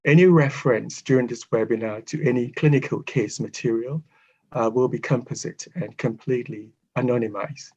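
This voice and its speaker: A man from Ghana delivers a prepared talk in a husky, mid-pitched voice. His phrasing is formal and measured, with pauses, and the video call audio sounds dull and lo-fi. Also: steady tone, dark tone. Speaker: guest speaker, psychotherapist. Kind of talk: prepared lecture.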